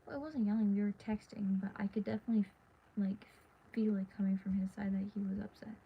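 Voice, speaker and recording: A teenage girl livestreaming from her dark bedroom at night, talking in a tired, half-whispered ramble while losing her voice. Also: medium pitch, steady tone, quick pace, gravelly, husky. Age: teens. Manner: hushed, half-whispered and rambling with many fillers. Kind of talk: casual late-night livestream chat. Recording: dull lo-fi phone audio with faint hiss.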